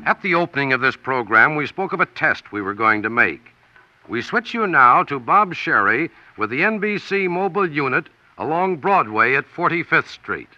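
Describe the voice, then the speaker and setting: An American man narrates a 1940s radio documentary with dramatic punch. His voice is very bright and forceful. It sits close and dry on a band-limited old broadcast recording. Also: on the high side, big pitch swings, moderate pace, dry recording, highs cut off, soft background music.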